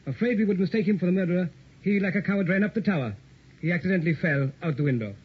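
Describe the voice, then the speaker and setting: A man's voice: high-pitched, racing, natural voice. A man playing a cautious, grim French inspector, formal and foreboding, in an old radio horror play. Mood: stern, brisk. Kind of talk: 1960s old-time radio horror acting. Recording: muffled old radio recording, background noise.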